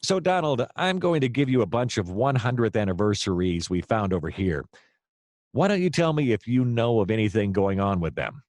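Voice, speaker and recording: An actor playing a hapless hard-boiled private detective, narrating his case in a wry, deadpan noir radio-play style. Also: on the low side, normal speed, no echo, studio quality. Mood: eager, pushy.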